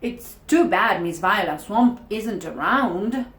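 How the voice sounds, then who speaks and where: A cheeky schoolkid talks with dramatic emphasis, played by a woman reading a storybook. The pitch swings in a lively way, and the room is echoey. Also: takes it slowly. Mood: earnest. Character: school kids on a football team, cheeky and gossipy.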